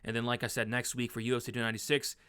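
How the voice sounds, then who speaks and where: Young male betting analyst, slightly hoarse, rambling casual delivery, smooth mid-pitched voice. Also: monotone, rapid-fire, sharp tone. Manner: rambling, filler-heavy, frequent restarts. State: hoarse, losing his voice.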